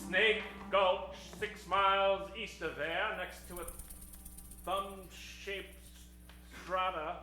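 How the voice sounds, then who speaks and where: A man's voice: sits high, fairly even intonation, takes it slowly, over music. A man narrating a tongue-in-cheek old-time western radio show in a theatrical storytelling way. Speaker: narrator of a live improvised radio comedy. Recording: roomy live stage sound.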